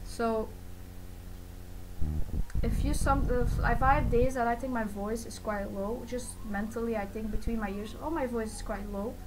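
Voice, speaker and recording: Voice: medium pitch, fairly even intonation, moderate pace. A young adult vlogger talking casually and personally to camera in a rambling, self-correcting, informal way. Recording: noticeable background noise.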